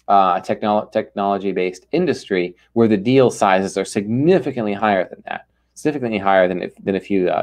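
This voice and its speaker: Energetic, emphatic man as interview guest. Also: fairly low pitch. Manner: energetic, emphatic, acts out quotes. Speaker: ghostwriter guest. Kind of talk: podcast interview.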